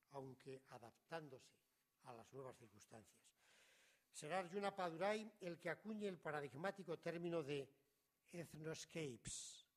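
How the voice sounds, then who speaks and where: A middle-aged man, reading a prepared academic lecture in a measured, formal way that often trails off quietly at the ends of phrases. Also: fairly high pitch. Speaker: conference speaker.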